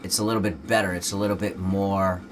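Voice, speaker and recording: A man's voice: sits low, level tone, fast talker, noisy background. Dutch man, loose laid-back talk. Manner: laid-back, loose, full of "you know" fillers. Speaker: rapper. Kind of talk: casual interview talk.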